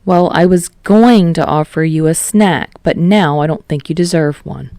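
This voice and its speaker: A woman's voice: sits low, moderate pace, dark tone, dry recording, studio quality. A woman voicing an easygoing, sheepish teenage boy in a fan fiction audiobook, casual and good-natured. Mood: relaxed, teasing.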